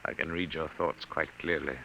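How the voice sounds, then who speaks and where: Evil venusian boss, deep voice w hard bright edge, smug n taunting, old radio drama, bit of hiss. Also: male voice, level tone, moderate pace, heavily acted, poor audio. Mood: gloating menace. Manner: taunting, superior, theatrical. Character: smug, menacing male alien invasion leader from Venus. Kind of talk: 1950s radio drama acting. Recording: hissy vintage 1950s radio recording.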